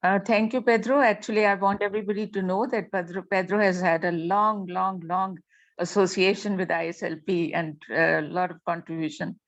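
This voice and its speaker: A woman hosts an online meeting on a video call. She is polite and a little halting as she hands over to participants, in a medium-pitched voice. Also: fairly even intonation. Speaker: meeting host.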